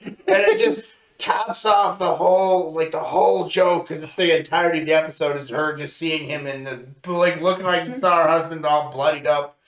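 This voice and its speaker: A man's voice: on the high side, expressive, moderate pace. A man chatting casually as co-host of a review show, recounting scenes in an enthusiastic, rambling way. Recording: echoey, roomy sound.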